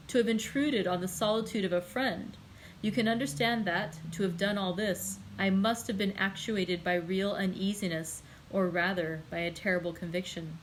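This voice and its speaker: A woman voicing a calm, commanding nobleman who speaks slowly, quietly and with measured firmness in an audiobook. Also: medium pitch, slight background noise, natural voice, rough recording. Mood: entreating. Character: calm, commanding older nobleman, gently stern. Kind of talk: audiobook character reading.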